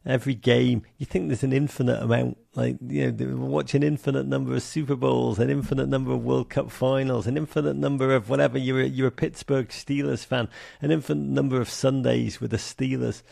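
A man's voice: mid-range voice, little pitch movement, a little fast, gravelly, few pauses, mellow, no echo, studio quality. A man from England with a Liverpool accent, a soccer broadcaster and author, talking animatedly in an interview with many fillers. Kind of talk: conversational interview.

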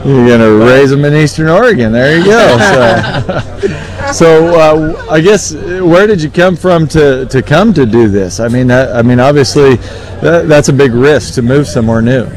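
A man hosting a casual live broadcast interview, speaking in a folksy, halting, conversational way. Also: mid-range voice, animated. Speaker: radio show host and interviewer.

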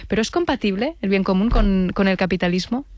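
A woman's voice: medium pitch, a little fast, darkish tone, dry recording. Spanish female radio host, dry studio sound. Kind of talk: live news interview.

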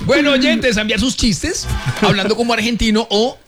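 A man's voice: very high-pitched, normal speed, over music. A Colombian man hosting a morning radio show with casual, playful banter, sometimes putting on an Argentine accent.

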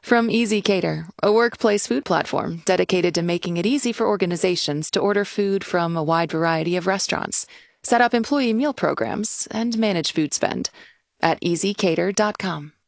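A woman reading scripted sponsor messages in a smooth promotional manner. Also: lowish voice, normal speed, no room sound, clean studio sound. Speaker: sponsor announcer.

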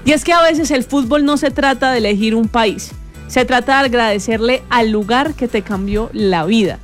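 A woman's voice: fairly high pitch, normal speed. A woman with a Colombian accent hosting a talk show, giving opinions in an emphatic, colloquial way. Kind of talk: opinion commentary and panel chat. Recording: music playing under the voice.

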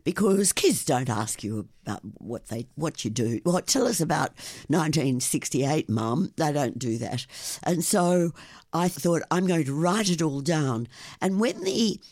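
Slow, enthusiastic older woman in a dry studio. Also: low voice, dry recording. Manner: enthusiastic, animated storyteller. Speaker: guest, travel blogger. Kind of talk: radio interview. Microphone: studio microphone.

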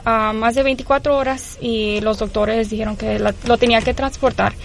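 A woman's voice: on the high side, fairly even intonation, normal speed, vocal fry. A young woman from Houston telling her story as an interview guest, hesitant and full of filler sounds. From Houston, Texas. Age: young adult. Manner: hesitant, many filler sounds, mixes English terms into Spanish. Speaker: guest, mother of a patient. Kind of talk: personal account in an interview.

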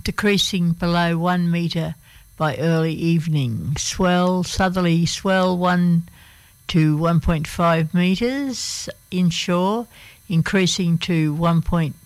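A woman with an Australian accent and a croaky voice, presenting the weather on community radio in a hesitant, unscripted way with many fillers. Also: on the low side, no room sound, low-quality recording. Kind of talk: reading the local weather on air.

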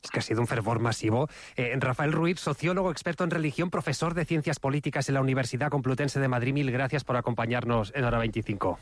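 Male host, mid-pitched, fast and lively, close dry studio mic. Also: no echo. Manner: lively, quick reactions. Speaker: radio host. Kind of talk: radio talk show hosting.

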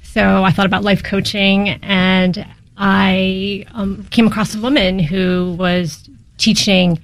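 A woman talks as a guest coach in an interview. Her voice is of average pitch. She explains in informal, conversational phrases that often halt and pick up again. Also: little pitch movement, takes it slowly.

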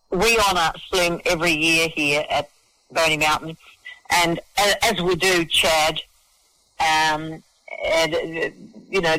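An Australian man with a high, bright voice talks in a casual interview. He is enthusiastic and matey, stammering over repeated words as he gushes about his subject. The sound is close and dry. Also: no echo, poor audio. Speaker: festival organiser and singer-songwriter, interview guest. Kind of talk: casual radio interview chat.

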